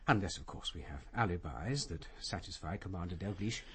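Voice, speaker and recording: An actor playing a formal, hesitant senior barrister in a radio crime drama. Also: male voice, sits low, animated, fairly quick, slight background noise. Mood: measured, uneasy.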